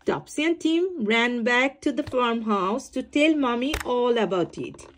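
A woman reading a children's picture book aloud, slowly, with small slips and restarts. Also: on the high side, expressive, noisy background. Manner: slow, sing-song storytelling with small slips and restarts. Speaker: storybook reader.

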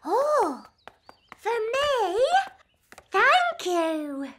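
A tiny cartoon princess with a very high, childlike voice. She speaks very slowly and simply, earnest and demanding as a small girl. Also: very animated, background noise, full character voice. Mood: eager. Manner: slow, simple, halting child speech. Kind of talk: preschool cartoon voice acting.

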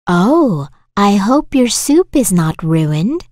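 A woman playing a cheerful, curious young village lady with a dainty, polite manner in an animated children's story. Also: medium pitch, takes it slowly, no echo, studio quality. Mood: concerned, helpful.

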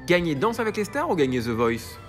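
A man hosting off camera, reading playful quick-fire questions in a brisk manner. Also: a little high, sing-song, faint music behind. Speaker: interviewer host.

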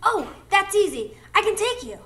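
A woman's voice: very high voice, very animated, normal speed, fairly bright tone, exaggerated voice. An actress playing an impish, impulsive young fairy girl, eager and blurting, in a live radio drama.

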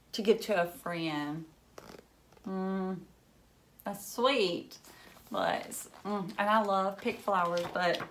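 Thirties lady reading a kids book out loud, slow and dramatic, bit of room noise behind her. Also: fairly high pitch. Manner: animated, dramatic gasps and hushed moments, simple questions to children. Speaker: teacher reading a picture book aloud. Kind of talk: expressive children's read-aloud with asides to young listeners. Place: furnished room at home, indoors.

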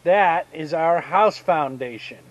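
A man in his fifties with a Southern US accent, giving a casual outdoor tour of his homestead and explaining each step with pauses. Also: a little high, dull audio. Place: outdoors on rural land by a pine forest. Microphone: action camera held at arm's length.

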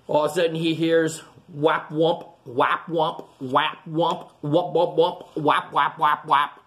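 Slow, animated man reading to kids. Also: fairly high pitch. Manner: animated, explains the story to children between pages. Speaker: teacher reading a picture book aloud. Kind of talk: children's storytime read-aloud. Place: classroom, indoors. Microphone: webcam at close range.

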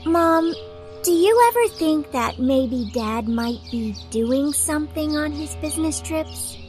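Woman playing an earnest high-voiced young daughter. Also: animated, slow, a little mellow, faint music behind. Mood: uneasy. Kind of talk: radio drama acting.

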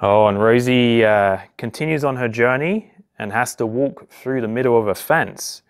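A man in his thirties reads a picture-book story aloud for small children. His voice sits at a medium pitch. He narrates in simple phrases, with expressive exclamations and questions put to the young listeners. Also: normal speed, clean tone. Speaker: police constable reading a children's story. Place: small indoor office or home room.

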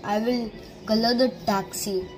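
Slow-talking woman teaching digital drawing. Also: a little high, steady tone. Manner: simple instructions in short broken phrases. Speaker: art teacher. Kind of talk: step-by-step drawing tutorial. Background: background music.

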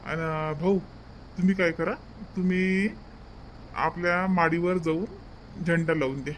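High-pitched village priest played by a man. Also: steady tone, unhurried, a bit bright, a little hiss or hum. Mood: instructing, brisk. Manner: instructing, repeats his directions. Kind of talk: comedy cartoon dialogue.

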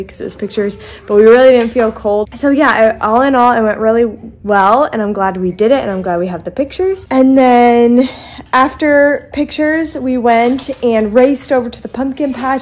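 A woman's voice: on the high side, darkish tone. A woman in her thirties, a little stuffed up, chatting casually to her phone camera in a home basement, rambling with frequent ums. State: stuffed up, congested. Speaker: vlogger. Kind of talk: casual vlog chat to camera. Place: home basement playroom, indoors. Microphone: phone held close to the face.

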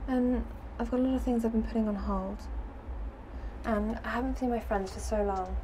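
Casting: actress as wry, wounded young ex-girlfriend, highish voice, naturalistic one-sided phone scene, clear traffic noise behind. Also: steady tone, moderate pace, dark tone, natural voice, rough recording. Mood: resigned. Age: young adult. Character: hurt young ex-girlfriend putting on a brave face. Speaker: actress in a short film. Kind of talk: naturalistic film acting, one side of a phone call. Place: phone box on a city street.

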